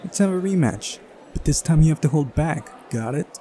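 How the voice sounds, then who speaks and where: A man's voice: fairly high pitch, animated, moderate pace, warm tone, slight background noise, voice pushed up, lightly acted. A man voicing a cheeky, mischievous girl in a visual novel, taunting and petulant. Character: bratty, mischievous, cheeky girl card player.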